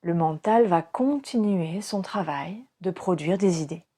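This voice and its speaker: A woman leads a guided meditation in a dark, relaxed tone. Her voice has a breathy, husky edge and moves very slowly. She gives calm, soothing instructions in short phrases, leaving pauses between them. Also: on the low side. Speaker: yoga nidra teacher.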